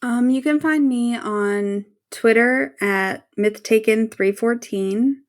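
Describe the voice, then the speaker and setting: A woman's voice: a little high, slow pace. A woman guest on a podcast, chatting casually and informally as a fan.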